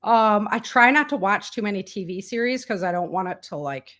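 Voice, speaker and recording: A woman's voice: mid-range voice, fairly quick, fairly bright tone. A woman speaking as an enthusiastic, chatty interview guest, in a casual conversational style. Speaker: interview guest, indie author and podcaster.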